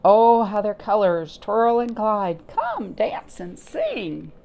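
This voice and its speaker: A woman's voice: medium pitch, sing-song, takes it slowly, gravelly, darkish tone, highs cut off, full character voice. A woman as a lilting, playful storybook grasshopper. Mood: playful, inviting. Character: carefree, playful grasshopper who loves music and play. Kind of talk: children's picture-book read-aloud.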